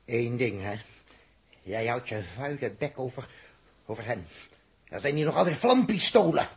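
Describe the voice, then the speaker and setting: A man acting a grieving, hot-tempered, bitter space crewman in a 1960s radio drama, with an explosive, halting delivery. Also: medium pitch, sing-song, breathy edge, exaggerated voice. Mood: menacing. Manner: emotional, explosive, halting repetitions. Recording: noisy 1960s radio recording.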